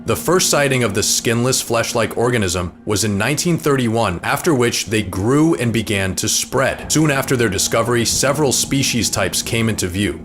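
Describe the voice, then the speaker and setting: A man's voice: mid-range voice, expressive, normal speed, few pauses. A man narrating a scripted explainer in the manner of an online video essay. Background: music.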